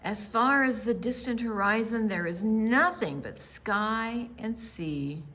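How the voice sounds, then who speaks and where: An American woman reading a picture book aloud for young children, with dramatic pauses, hushed asides and emphatic delivery. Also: on the high side, lively intonation. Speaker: children's storytime reader at a library.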